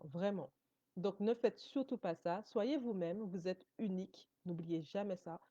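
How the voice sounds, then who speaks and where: A woman whose first language is English, giving a motivational coaching talk straight to her viewers. She encourages them warmly and keeps checking in with a quick "ok?". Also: medium pitch, no echo, dull audio. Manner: encouraging, addresses viewers directly, often checks in with "ok?".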